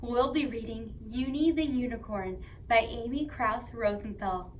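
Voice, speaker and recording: A woman hosting story time for young children, reading aloud and chatting to them in a playful, expressive way. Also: fairly high pitch, measured pace, a little hiss or hum.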